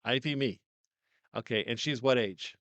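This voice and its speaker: An older man hosting a casual interview in a small studio, talking loudly and coaxingly into a directional mic. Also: mid-range voice, normal speed, no echo. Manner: loud, coaxing, chatty. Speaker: host.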